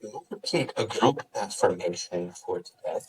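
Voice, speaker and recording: Low, husky-voiced man guiding meditation. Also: animated, recorded from a distance, low-quality recording. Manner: gentle, unhurried instruction with pauses. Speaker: yoga teacher. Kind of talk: guided meditation.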